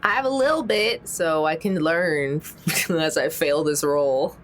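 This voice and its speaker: A woman's voice: low-pitched, a little slow, a little hiss or hum. A woman playing a tabletop game, chatting casually in a playful, hesitant manner.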